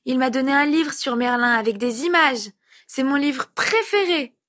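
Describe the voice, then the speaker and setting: A woman's voice: sits high, moderate pace, fairly bright tone, pitched above the performer's own voice. A woman voicing an eager, polite little boy who is curious and easily delighted, in a read-aloud story. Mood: curious, proud.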